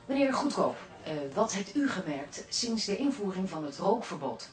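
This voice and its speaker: A woman playing a measured television reporter in a Dutch radio comedy drama. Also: mid-range voice, big pitch swings, over a noisy crowd, close to an everyday voice. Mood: reportorial. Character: television reporter interviewing café regulars.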